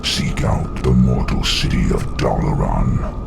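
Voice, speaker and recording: An actor playing an ancient, contemptuous demon lord in a game cutscene, with a gravelly, very deep voice. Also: male voice, unhurried, loud music behind the voice, exaggerated voice. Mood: commanding. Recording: studio voice over loud score.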